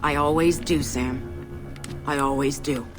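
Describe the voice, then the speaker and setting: An actress playing a cool, resolute undercover agent with a controlled, matter-of-fact delivery in a dramatic video game. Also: female voice, low-pitched, fairly even intonation, slow pace, music in the background.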